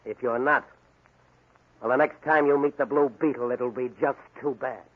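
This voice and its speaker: A man's voice: medium pitch, steady tone, normal speed, lightly acted. A man acting a confident, commanding masked crime-fighter with clipped tough talk and wry courtesy in a 1940s radio drama. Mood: stern, threatening. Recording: noisy tinny 1940 radio recording.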